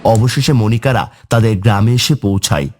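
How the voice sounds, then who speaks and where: A man's voice: on the low side, moderate pace, darkish tone. A man narrating a scripted horror story for a cartoon.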